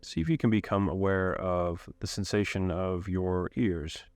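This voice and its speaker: A man leading a guided meditation in a calm, unhurried way, giving gentle instructions with long pauses. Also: low-pitched, clear, resonant tone, no room sound.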